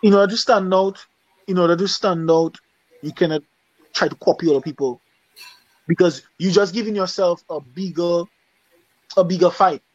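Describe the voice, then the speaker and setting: A man's voice: sits high, moderate pace, pauses often, no room sound. Dominican-accented man, muffled dry sound. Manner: repetitive, often asks "you understand?". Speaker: singer guest. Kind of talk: casual interview talk.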